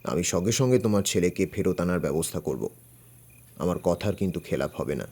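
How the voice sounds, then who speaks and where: A man playing a calm, shrewd, fearless older detective in an audio story, composed and reassuring. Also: low-pitched, a little fast, slightly rough, exaggerated voice. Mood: calm, firm. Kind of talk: Bengali audio story acting.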